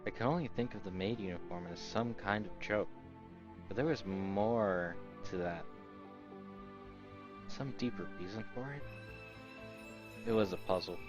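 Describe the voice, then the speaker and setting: This man has a low voice and reads story narration aloud as a game host. He drops in casual asides and sometimes trips on a word, then corrects himself. Music plays clearly underneath. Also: unhurried, pauses often, lo-fi sound. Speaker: let's-play host reading a visual novel aloud. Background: game background music.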